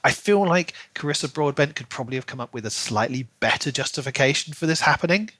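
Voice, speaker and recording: A man's voice: medium pitch, fast talker, dry recording. A man co-hosting a casual book discussion podcast, acting out imagined dialogue as he goes. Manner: animated retelling, acts out imagined dialogue in asides. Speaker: podcast co-host.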